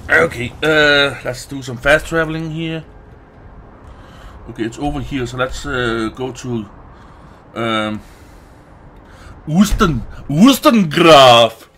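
A man's voice: medium pitch, animated, takes it slowly, long pauses, a bit bright, slight background noise. A man doing casual gameplay commentary, muttering to himself in short bursts. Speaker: let's play streamer.

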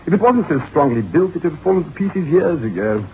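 A man's voice: on the high side, very animated, fairly quick. An actor playing a chatty, hesitant, fussy male estate agent in a 1960s radio drama, on a tinny, noisy old recording. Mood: chatty, dismissive.

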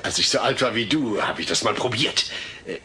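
A man's voice: lowish voice, expressive, fairly quick, sharp tone. A man playing an earnest, patient middle-aged father with a slightly stilted manner in a comedy audio drama. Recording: noisy old cassette audio drama.

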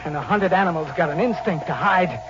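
An actor playing a hard-bitten, hunted drifter in a 1940s radio drama, tough and terse, on a muffled, noisy old recording. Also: male voice, sits high, fairly quick, loud music behind the voice, close to an everyday voice. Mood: haunted, tense. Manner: tough, terse, slangy, brooding. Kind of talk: 1940s radio acting, first-person crime narration.

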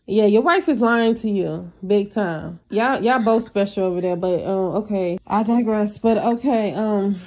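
A woman's voice: mid-range voice, unhurried, hardly any pauses, darkish tone. An American woman talking casually and bluntly on a confrontational phone call, with a dismissive manner. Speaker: caller, vlogger.

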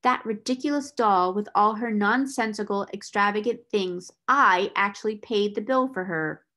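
A cold, scornful schoolmistress of middle age, played by a woman reading a storybook. She speaks in a sharp, imperious way, scolding and domineering, at a middling female pitch. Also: unhurried.

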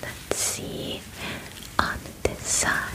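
A gentle female alien examiner, played by a young woman, speaks in a soft whisper close to the mic. She takes her time, with slow, formal, soothing phrasing and a calm clinical manner suited to an ASMR personal attention roleplay. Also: poor audio. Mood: gentle, reassuring. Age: young adult. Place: bedroom set, indoors. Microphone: close ASMR microphone.

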